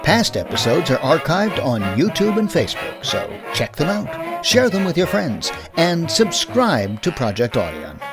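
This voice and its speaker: Lively male host over music. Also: on the high side, a little slow, hardly any pauses. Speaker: show host, outro announcer. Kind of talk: scripted outro narration.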